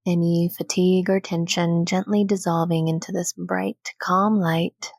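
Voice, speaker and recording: Very slow, soothing woman guiding meditation. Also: on the low side, little pitch movement, vocal fry, no room sound, clean studio sound. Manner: gentle, unhurried, soothing, with long pauses. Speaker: meditation guide. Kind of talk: guided meditation, soothing scripted narration.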